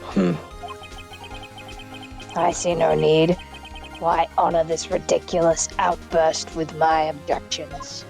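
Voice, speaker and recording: A woman putting on a gravelly, imperious old prosecutor. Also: low voice, flat delivery, slow pace, pauses often, a little mellow, soft background music, full character voice. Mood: contemptuous. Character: haughty, menacing older male prosecutor, contemptuous and imperious. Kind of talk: video game fan dub acting.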